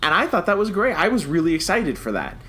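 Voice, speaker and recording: An American man co-hosting a podcast in casual, animated fan chat that slips into mock quotes. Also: sits high, fast talker. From United States. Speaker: podcast co-host.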